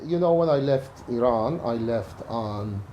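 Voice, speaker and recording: A middle-aged man from Iraq, giving calm, earnest personal testimony in an interview. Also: mid-range voice, unhurried, dull audio, slight background noise.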